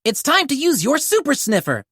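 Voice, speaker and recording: Boy rescue leader, read by a woman: confident, encouraging, high-pitched, animated storybook delivery. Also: moderate pace, no echo, clean studio sound. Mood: commanding. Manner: encouraging, giving orders.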